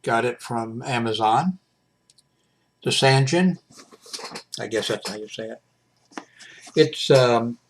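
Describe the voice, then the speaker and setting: A man in his seventies talking casually and haltingly in an unscripted home video review, with frequent mid-sentence pauses. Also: mid-range voice. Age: 70s. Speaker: radio hobbyist reviewing a portable shortwave radio. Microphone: webcam microphone.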